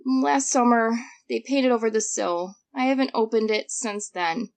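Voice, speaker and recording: An earnest girl of twelve, played by a woman has a fairly high voice. She sounds anxious and hesitant, quick to bristle, as a character in an audio drama. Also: vocal fry. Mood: worried, earnest.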